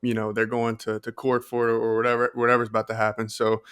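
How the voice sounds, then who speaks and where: Male podcast co-host, clear resonant voice, fairly flat pitch, casual and halting delivery. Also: no echo, studio quality. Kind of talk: casual chat.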